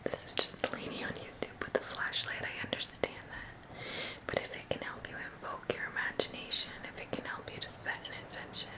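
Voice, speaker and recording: A woman leading an ASMR guided energy-healing session in a breathy whisper, soothing and repeating short phrases. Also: rough recording. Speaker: ASMR reiki practitioner and host.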